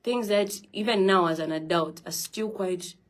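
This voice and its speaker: A woman's voice: mid-range voice, moderate pace. A young woman hosting a phone live stream, talking earnestly and encouragingly to her viewers about self-love and positivity. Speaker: livestream host.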